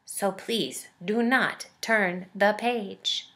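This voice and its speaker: A woman's voice: mid-range voice, very slow, pushed and bright, full character voice. A woman voicing a timid, lovable furry male storybook monster in a pleading, melodramatic manner. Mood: anxious pleading. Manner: pleading, melodramatic, exaggerated emphasis.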